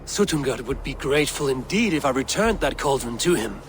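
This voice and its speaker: An actor playing a sly, persuasive god in disguise in a fantasy video game. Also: male voice, mid-range voice, lively intonation, normal speed, a little hiss or hum, lightly acted. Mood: wary. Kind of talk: video game cutscene acting. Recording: game audio with ambience.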